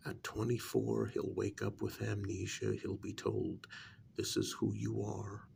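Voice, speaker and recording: A man playing a reasonable, hesitant confidant to vampire nobility in a soft-spoken ASMR role-play, his soft voice often dropping into whispers. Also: fairly low pitch, level tone, vocal fry, a little hiss or hum. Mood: pensive, reassuring. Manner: hesitant, measured, gently reasoning.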